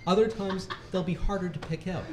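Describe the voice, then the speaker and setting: A man's voice: high voice, fairly quick, darkish tone. Man reading comedy aloud, echoey room. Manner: mock-serious instructional delivery; often breaking into laughter. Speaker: reader at a charity livestream. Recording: echoey room with faint background noise.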